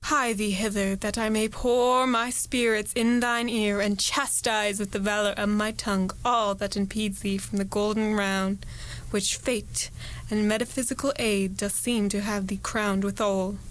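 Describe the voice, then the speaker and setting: A young woman playing an ambitious, ruthless noblewoman in a Shakespearean radio play, speaking verse deliberately and dramatically. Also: mid-range voice, level tone, slight background noise, lightly acted, rough recording. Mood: scheming resolve. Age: young adult. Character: ambitious, ruthless noblewoman invoking dark spirits.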